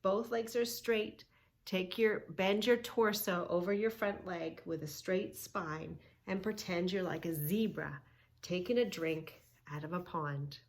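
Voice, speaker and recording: A woman hosting a children's yoga read-along, teaching in an emphatic, sing-song way with drawn-out key words and questions. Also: on the low side, vocal fry. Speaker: children's yoga story-time host. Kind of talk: read-along lesson for young children, narrating and instructing.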